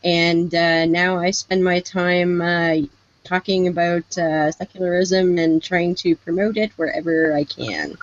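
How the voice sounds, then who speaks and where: A woman's voice: lowish voice, steady tone, measured pace. A woman from Ontario, Canada, introducing herself plainly on an online panel. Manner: plain-spoken, self-deprecating. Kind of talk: casual self-introduction. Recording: muffled lo-fi call audio.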